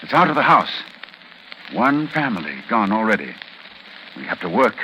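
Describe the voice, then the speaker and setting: A man's voice: fairly low pitch, big pitch swings, normal speed, lo-fi sound, slight background noise. A man acting a scholarly doctor in a 1940s radio horror drama, grave, intense and desperate as he narrates. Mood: frantic. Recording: 1940s radio recording with loud music.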